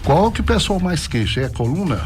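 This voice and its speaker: Male radio host, Northeastern Brazilian accent, slightly high pitch, conversational and folksy, light music bed underneath. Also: very animated, a little fast, darkish tone. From Pernambuco, Brazil. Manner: chatty, folksy, seeks agreement with tag questions. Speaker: radio host interviewing guests. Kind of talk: casual radio interview. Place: radio station studio. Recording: music behind the voice.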